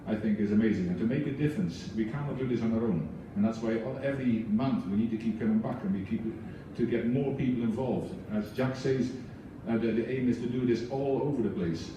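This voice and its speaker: A man's voice: medium pitch, fairly quick, few pauses, muffled recording, background noise. A man on a book club's leadership team, speaking to an audience with a foreign accent in an earnest, passionate way, with many restarts. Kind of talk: speech to an audience. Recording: echoey room, voice from far off.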